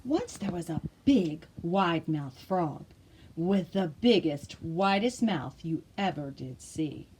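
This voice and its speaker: A woman hosting a children's storytime, reading aloud with expressive, encouraging, exaggerated delivery. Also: on the low side, slow pace, a little hiss or hum. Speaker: library storytime host. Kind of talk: animated read-aloud for young children.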